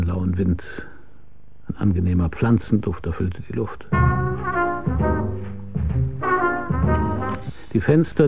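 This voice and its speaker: An actor playing a weary, laconic, deadpan hard-boiled private detective who narrates his case in a radio crime drama. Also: fairly low pitch, close to an everyday voice. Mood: tense narration.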